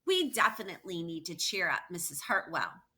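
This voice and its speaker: Woman reading as earnest, eager young schoolchild, bright-voiced. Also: fairly low pitch, sing-song, moderate pace, breathy edge, exaggerated voice.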